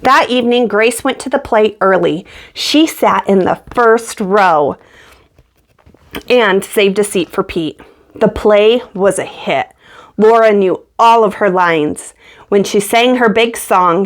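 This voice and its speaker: Slow-paced woman reading a children's story. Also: mid-range voice. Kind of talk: read-aloud children's story narration.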